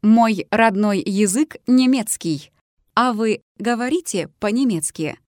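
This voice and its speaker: A woman reading lesson dialogues aloud in a measured, carefully articulated way. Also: medium pitch, darkish tone, no room sound, clean studio sound. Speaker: language teacher.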